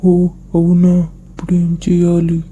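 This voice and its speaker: A woman voicing a naive, gullible, slow-witted talking watermelon in an animated moral story. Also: lowish voice, slight background noise, close to an everyday voice, low-quality recording. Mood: innocent.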